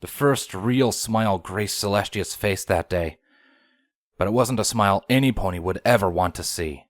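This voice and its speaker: A man's voice: lowish voice, moderate pace, dry recording, studio quality. A man narrating a scripted story as the narrator of a fan fiction audio drama.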